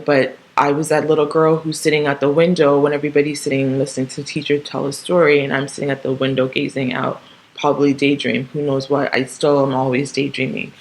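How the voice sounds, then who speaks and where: A woman's voice: low voice, low-quality recording. A woman in her thirties who grew up in Canada talks through a casual solo podcast monologue, rambling with frequent ums, restarts and tag questions. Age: 30s. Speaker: podcast host.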